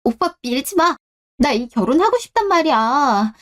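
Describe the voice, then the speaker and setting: A woman's voice: high-pitched, big pitch swings, moderate pace, voice pushed up. A woman voicing a selfish young bride-to-be in a radio drama, petulant and entitled, with a whining, pleading manner. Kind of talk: Korean radio-drama story acting.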